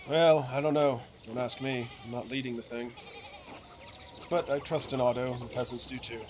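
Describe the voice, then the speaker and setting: Man acting out a chatty village man, emphatic, folksy, earnest, video game dialogue, mid pitch, clear farm ambience noise behind. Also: fairly even intonation, moderate pace, natural voice. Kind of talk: game dialogue read aloud in character.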